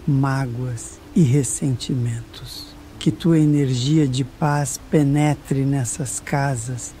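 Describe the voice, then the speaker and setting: A Brazilian voice reads a solemn prayer slowly and reverently. The tone is soft-edged and warm, soothing and measured, with faint music underneath. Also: mid-range voice. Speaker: prayer reader. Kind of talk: solemn scripted spiritist prayer.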